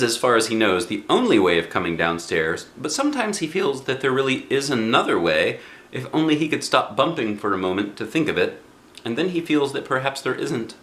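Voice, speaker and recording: A man reading a children's book aloud in an expressive way, speaking directly to young listeners. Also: medium pitch, fairly quick, roomy sound. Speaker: storybook reader.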